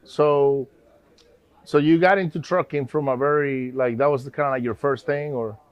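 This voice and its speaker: A man's voice: mid-range voice, steady tone, moderate pace, clear, resonant tone, no echo, dull audio. A man hosting a podcast, asking questions in a friendly, casual way and calling his guest bro and man. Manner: friendly, hype-style, uses bro and man. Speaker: podcast host. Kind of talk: casual interview chat.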